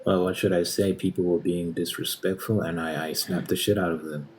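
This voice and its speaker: Brash, profane man with a deep voice. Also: steady tone, brisk, slight background noise. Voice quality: soft-spoken. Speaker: ASMR creator. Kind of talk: ASMR comedy roleplay.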